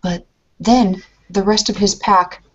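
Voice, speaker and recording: A woman's voice: medium pitch, fairly even intonation, takes it slowly. Anxious rambling woman, lo-fi. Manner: anxious, rambling, many restarts. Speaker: player in a tabletop roleplay. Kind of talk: in-character roleplay conversation. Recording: lo-fi muffled recording.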